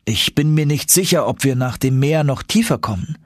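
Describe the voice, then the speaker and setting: A man acts out a young male adventurer in an audiobook story. He sounds excitable and full of doubt, questioning in astonishment. The husky voice is lifted well above a narrator's tone. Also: mid-range voice, normal speed, no echo, clean studio sound, pitched above the performer's own voice, natural voice. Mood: doubtful.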